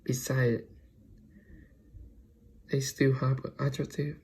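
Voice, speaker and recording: A man with a Vietnamese accent presents like a student in front of a class. His delivery is slow and hesitant, with little movement in pitch. The voice is soft-edged and mellow, recorded with slight background noise. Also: flat delivery. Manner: halting, hesitant delivery in non-native English.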